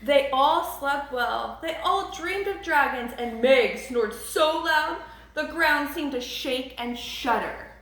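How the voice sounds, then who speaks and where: Woman, storybook narration, expressive, very lively intonation, echoey room. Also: sits high, takes it slowly. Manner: expressive, emphatic.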